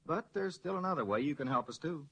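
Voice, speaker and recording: A patient neighborhood grocer explaining things in a vintage radio spot. His voice is bright and rough-edged, with lively intonation. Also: fairly high pitch, fast, full character voice. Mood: earnest. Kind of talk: 1940s radio advertisement acting.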